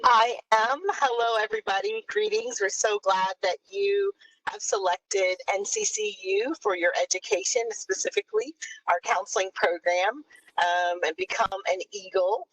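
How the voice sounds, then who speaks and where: A woman counseling professor giving a short welcome to new students on a video call, with a lively, sing-song delivery. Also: mid-range voice, moderate pace, recorded from a distance, poor audio. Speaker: counseling professor and track director. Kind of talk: welcome speech.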